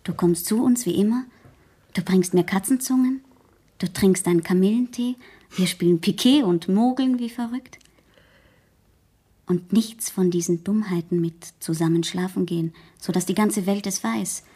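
A woman's voice: medium pitch, moderate pace, warm tone, slightly rough, natural voice. An actress playing an outspoken, proud teenage girl in a German radio play, speaking earnestly and frankly. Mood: pleading.

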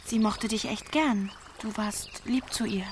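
A woman's voice: mid-range voice, unhurried, natural voice. Radio play, actress as teenage village girl, forward and blunt, some ambient noise behind. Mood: casual, boastful. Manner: blunt, provocative. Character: seventeen-year-old village girl, forward, defiant, blunt. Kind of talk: radio play acting in standard German.

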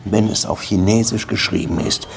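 A man's voice: fairly low pitch, fairly even intonation, normal speed, creaky, a little hiss or hum. An actor playing a weary, sardonic male police detective in Havana, dry and wry, in a radio drama. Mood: wry, determined. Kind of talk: radio drama dialogue and first-person narration.

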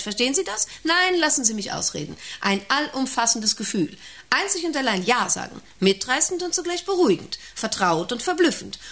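An actress in a radio play playing an imperious, overwrought woman director, theatrical, moving between hushed musing and sharp commands. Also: fairly high pitch, exaggerated voice. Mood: rapturous. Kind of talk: German radio play acting.

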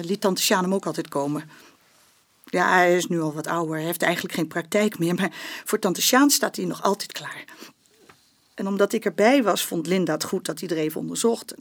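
A woman with a Dutch accent playing an anxious mother who gives a hesitant, halting statement to police in a naturalistic radio drama. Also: fairly low pitch, vocal fry. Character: anxious mother recounting her daughter's abuse to police.

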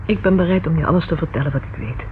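A woman's voice: low voice, very fast, close to an everyday voice. An actress in a radio play as an anxious, loyal young woman, hesitant, pleading and emotional. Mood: uneasy, earnest. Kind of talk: Dutch radio play acting. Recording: dull lo-fi old radio recording, faint noise.

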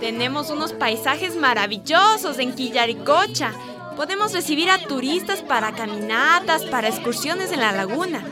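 Radio drama villager: eager, hopeful woman, high animated voice. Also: moderate pace, music in the background.

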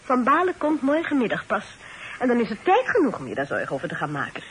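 High, lively, teasing young lady. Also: fast. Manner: teasing, mocking. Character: Santje, teasing sharp-tongued young Dutch lady of past centuries. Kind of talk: radio drama acting. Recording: noisy old radio play recording.

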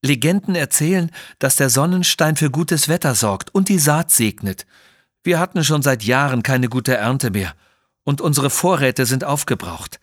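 A man's voice: medium pitch, animated, normal speed, dry recording, studio quality, close to an everyday voice. A man voicing an earnest, pleading young Indian jungle guide in a children's audio story. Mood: desperate, pleading.

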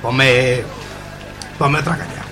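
A man's voice: mid-range voice, steady tone, slow, over music, close to an everyday voice. A man playing a sceptical, grumbling bar customer in a radio soap.

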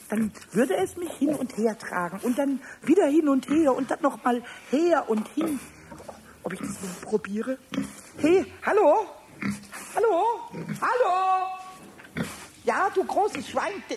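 A man voicing a cheeky, mischievous little male kobold who giggles, stammers and chatters to himself in a 1970s radio play. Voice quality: high, squeaky and stammering. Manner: giggling, excitable, talks to himself. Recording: noisy 1970s radio play with farm animal sounds.

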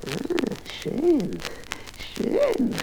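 A man playing a strange, grasping, gleeful little magical man in a fairy-tale radio play, with drawn-out, chanting words and cackles. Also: extremely high pitch, huge pitch swings, noisy background, heavily acted. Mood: greedy glee.

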